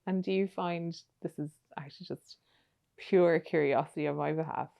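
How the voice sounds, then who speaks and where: A woman's voice: sits low, moderate pace, gravelly, dull audio. A young woman hosting a podcast on a studio mic, chatty, asking long rambling questions full of fillers. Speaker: podcast host. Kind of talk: casual interview chat.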